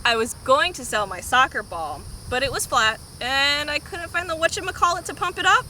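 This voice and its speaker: A woman's voice: sits high, expressive, normal speed, sharp tone, slight background noise, exaggerated voice, poor audio. A woman reading a storybook as an easygoing, disorganized schoolboy telling his own story. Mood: matter-of-fact. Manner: plain storybook read-aloud.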